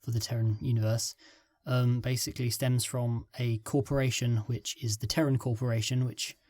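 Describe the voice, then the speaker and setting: A man's voice: mid-range voice, little pitch movement, moderate pace, darkish tone. A man being interviewed as a scriptwriter, answering hesitantly and restarting phrases. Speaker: guest, scriptwriter.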